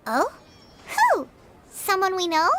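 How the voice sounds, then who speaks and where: A woman's voice: very high voice, wildly swooping pitch, slow, heavy background noise, full character voice. A woman voicing a tiny, cheerful, bossy fairy companion with a childlike manner in a video game. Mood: excited, curious. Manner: childlike, speaks of self in third person. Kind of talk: animated video game voice acting. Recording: loud game music behind. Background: game music.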